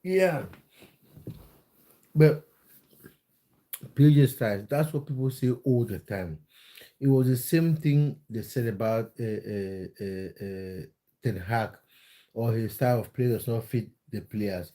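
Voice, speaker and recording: A man's voice: mid-range voice, slow, long pauses, mellow. A man from Cameroon hosting a casual livestream, reading viewer comments aloud and answering them with plenty of fillers and repeated words. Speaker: livestream host answering chat. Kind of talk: casual livestream chat about football.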